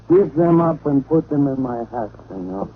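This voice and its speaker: A man's voice: medium pitch, animated, slow pace, slightly rough. A man playing a cunning, gleeful fat bandit with a Spanish accent and broken, mock-polite English in old radio drama style. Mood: gloating. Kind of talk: 1940s radio acting. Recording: tinny, noisy old radio recording.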